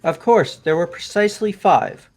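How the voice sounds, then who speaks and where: A man voicing a spacey, gravely philosophical young man in an amateur visual novel game dub. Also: high-pitched, animated, moderate pace, a little mellow. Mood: inquiring.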